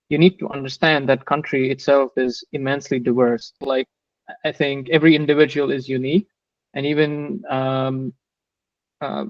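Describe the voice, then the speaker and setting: A man with an Indian accent speaks in a dry, slightly dull recording. His pitch stays fairly even as he gives thoughtful interview answers, often pausing and repeating words. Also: dry recording. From Bangalore, southern India. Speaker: interview guest, engineering manager.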